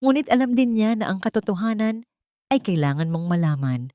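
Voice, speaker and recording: A woman's voice: medium pitch, big pitch swings, a little fast, dark tone, no room sound, studio quality. A woman reading scripted dramatic story narration.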